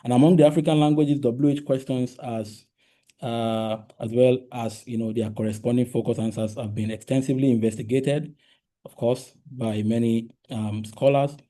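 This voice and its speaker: A man's voice: medium pitch, steady tone, normal speed, mellow. A man whose first language is Yoruba, giving an academic linguistics talk with many fillers and restarts and frequent "right?" check-ins. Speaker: linguistics researcher presenting a talk. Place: lecture room.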